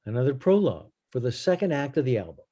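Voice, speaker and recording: A man playing an easygoing, cool music studio guy with a mellow, smooth voice, conversational in a table read. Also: mid-range voice, big pitch swings, fast. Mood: laid-back. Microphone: video call. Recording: video call, off-mic.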